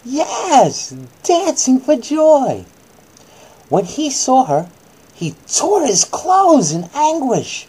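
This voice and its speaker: A woman's voice: high voice, wildly swooping pitch, drawn-out words, mellow, husky. A woman acting out a bedtime story for children, moving between dramatic reading and coaxing, soothing asides.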